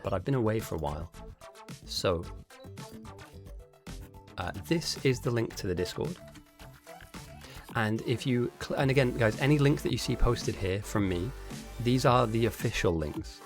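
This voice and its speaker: Hoarse male caster, casual and rambling, answering chat, faint music in the background. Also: medium pitch. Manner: chatty and rambling, with frequent restarts. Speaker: esports caster and streamer. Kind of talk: casual live-stream commentary, answering chat.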